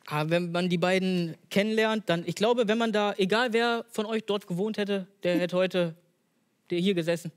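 A man's voice: sits high, normal speed, clear, resonant tone. A young man from the Ruhr area, a comedian guest in a casual chat, laid-back and laughing easily.

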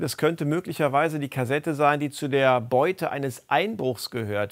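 A man presenting a regional news magazine in a relaxed, chatty way. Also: mid-range voice, gravelly.

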